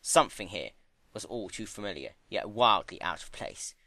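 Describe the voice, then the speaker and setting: A man reading a story as a dramatic narrator, solemn and measured in his storytelling. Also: on the low side, lively intonation, pushed and bright, no room sound. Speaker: narrator, fan-fiction reader.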